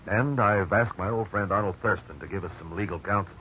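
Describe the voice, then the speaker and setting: Actor as a steady, low-voiced father, old radio. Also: brisk, close to an everyday voice. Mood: grave, resigned. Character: steady, reassuring father, worried but firm. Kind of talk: 1950s radio drama acting. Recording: dull, noisy old radio recording.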